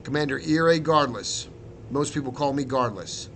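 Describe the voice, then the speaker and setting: An earnest male army commander, played by a man reading a story, stiff and a little awkward. He speaks at a medium pitch in a storybook war tale, with clear noise and rumble on the track. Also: moderate pace, a bit bright. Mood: formal. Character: stoic, earnest male military commander, a vegetable soldier.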